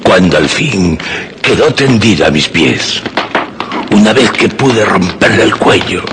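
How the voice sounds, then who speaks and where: An actor playing a solemn, proud uncle telling of a wolf hunt in a suspense radio drama, with dramatic pauses. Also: male voice, low-pitched, animated, background noise. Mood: grave, intense. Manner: slow, dramatic, pausing storytelling.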